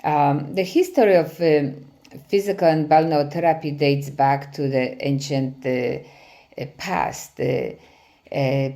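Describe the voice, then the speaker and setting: A middle-aged woman from Poland with a Polish accent, giving a conference presentation as a physical therapy professor, often pausing with "uh" fillers. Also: low voice, steady tone, vocal fry, dark tone. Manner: frequent hesitations with many "uh" fillers.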